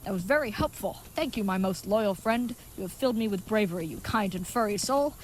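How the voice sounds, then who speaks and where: A woman's voice: mid-range voice, animated, fairly quick, lightly acted. A woman playing a grandiose, dim-witted chivalrous knight, noisy ambience. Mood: fond, chatty. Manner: grandiose, florid, mock-archaic phrasing. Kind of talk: comedic audio drama acting.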